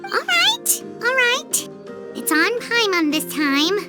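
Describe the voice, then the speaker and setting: A woman voicing a small childlike fairy guide in a video game, squeaky, eager and caring. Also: sing-song, takes it slowly, full character voice. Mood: cheerful, reassuring. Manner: refers to self in the third person, eager. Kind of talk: video game character acting. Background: game music.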